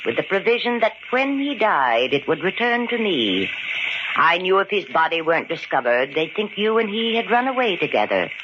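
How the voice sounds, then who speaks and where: A woman playing a cold, vengeful, scheming murderess in an old-time radio mystery, speaking with theatrical, deliberate menace. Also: lowish voice, very animated. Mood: calculating, menacing. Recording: muffled, noisy old radio recording.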